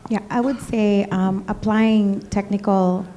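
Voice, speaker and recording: Mellow-voiced woman panelist. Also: mid-range voice, steady tone, a little slow, a little hiss or hum. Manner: direct, with light laughs. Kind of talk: panel discussion.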